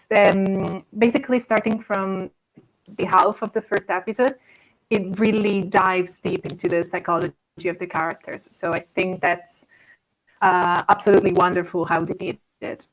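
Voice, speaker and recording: A woman's voice: medium pitch, fairly even intonation, moderate pace, poor audio. A woman in her twenties, enthusiastic but hesitant, with many "um"s. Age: 20s. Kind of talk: casual video chat.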